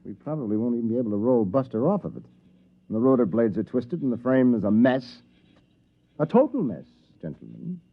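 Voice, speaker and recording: Soft-hearted dreamer inventor, wry grand turns, wildly swooping intonation, husky, old radio drama, dull lo-fi sound. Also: male voice, mid-range voice, very animated, fairly quick. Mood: dismayed. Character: Wes, dreamy, soft-hearted inventor leading a time-travel expedition. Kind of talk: 1950s sci-fi radio drama acting. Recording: dull, lo-fi vintage radio recording.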